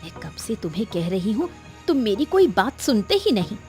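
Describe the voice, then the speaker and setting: A woman plays a squeamish, nagging cartoon wife. Her mid-pitched voice is fretful and insistent as she complains, with soft music behind her. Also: very animated, moderate pace, close to an everyday voice. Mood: annoyed. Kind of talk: Hindi moral-story cartoon dubbing.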